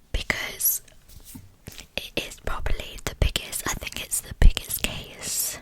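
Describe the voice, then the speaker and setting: A woman whispering in a hushed, soothing way as the host of an ASMR true crime channel. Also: poor audio. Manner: hushed, soothing delivery meant for sleep.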